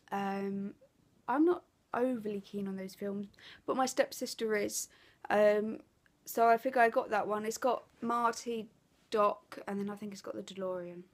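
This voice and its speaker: A woman with an Essex accent and a blocked, stuffy nose, chatting casually to camera as a craft vlogger, rambling with lots of ums and self-corrections. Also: medium pitch. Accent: Essex English. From England.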